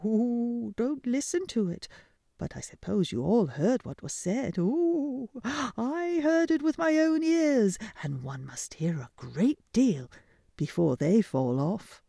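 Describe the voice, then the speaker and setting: Fussy mother owl all oohs and ohs, a lady doing her, high and swooping. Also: lively intonation, slow pace, mellow, no room sound, studio quality, exaggerated voice. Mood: scandalized. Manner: fluttery breathy "oh" and "ooh" sighs. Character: prim gossiping mother owl, fussy, excitable.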